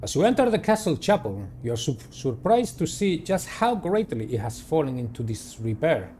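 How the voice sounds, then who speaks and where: A man hosting a game playthrough, reading the story aloud in a dramatic, deliberate way and adding his own commentary. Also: a little high, big pitch swings, warm tone, a little hiss or hum. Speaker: gaming video host. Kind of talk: reading visual novel narration aloud with personal commentary.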